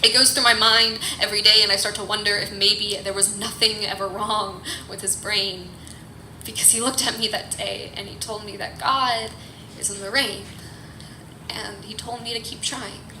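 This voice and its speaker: Bright, sharp-voiced woman performing slam poetry. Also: mid-range voice, steady tone. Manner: earnest, emotive, measured phrasing that rises from hushed to forceful. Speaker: slam poet. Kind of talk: dramatic spoken-word poetry performance. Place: live performance in a café. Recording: echoey, noisy live room.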